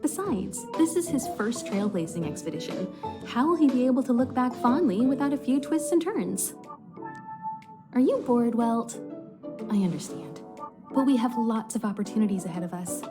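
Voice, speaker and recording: A woman's voice: a little high, lively intonation, normal speed, lightly acted. An actress playing a composed, worldly and serenely amused woman mentor in a video game cutscene. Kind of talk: English game voice acting, cutscene dialogue. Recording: music behind the voice.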